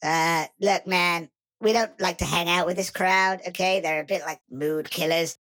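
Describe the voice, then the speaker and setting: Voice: medium pitch, fairly even intonation, moderate pace, sharp tone, voice pushed up. A game master voicing a laid-back male sprite who talks in slang and sounds exasperated. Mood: annoyed.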